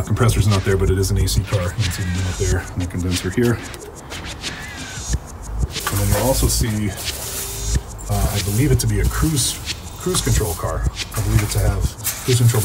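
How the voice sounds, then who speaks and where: An American man giving a casual, colloquial, opinionated walkaround as a car restorer. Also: on the low side. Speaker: car restorer presenting a find. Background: music.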